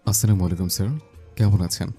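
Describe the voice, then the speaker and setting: Man as a deep, mellow, earnest young gentleman. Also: level tone, fast, husky, soft background music. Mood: respectful. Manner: respectful, composed. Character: polite, earnest young landlord's son, educated. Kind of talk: dramatized romantic audio story.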